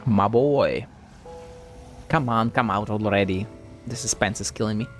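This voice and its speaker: A man's voice: lowish voice, unhurried. A man giving casual live gameplay commentary, sceptical and profane as he thinks aloud. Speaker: game streamer. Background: game music.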